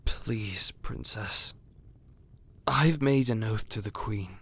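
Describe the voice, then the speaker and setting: A loyal royal messenger, acted by a man for an audio roleplay, solemn and guarded. He speaks slowly and formally, holding himself back in a hushed, strained tone. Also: fairly low pitch, slightly rough, no echo, heavily acted. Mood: strained, pleading.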